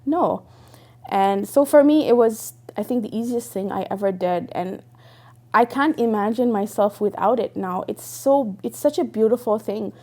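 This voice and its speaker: A woman's voice: medium pitch, normal speed, a little mellow. A young woman raised in Dubai, telling her personal story earnestly and reflectively, with frequent fillers and restarts. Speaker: interviewee. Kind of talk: personal testimony.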